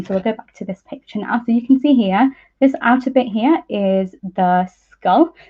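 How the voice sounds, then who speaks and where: Thin, phone-like online call audio carries a young woman. Her pitch sits in the middle for a woman. She explains science informally over slides, as a student presenter who hesitates a little as she goes. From East Midlands, England. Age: young adult. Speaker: PhD student speaker. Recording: muffled narrow-band online call audio.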